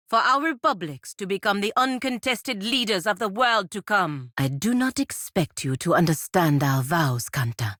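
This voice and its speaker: An actress voicing a proud, stern, dutiful woman knight and envoy in a video game, speaking in a formal, measured, dry manner. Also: medium pitch, a bit bright, dry recording, lightly acted. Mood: formal, resolute, then indignant. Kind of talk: video game character dialogue.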